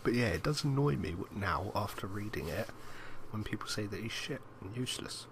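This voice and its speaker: A man's voice: fairly low pitch, animated, a little fast, background noise. A man co-hosting a podcast, chatting casually with his friend. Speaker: podcast co-host. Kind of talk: casual chat.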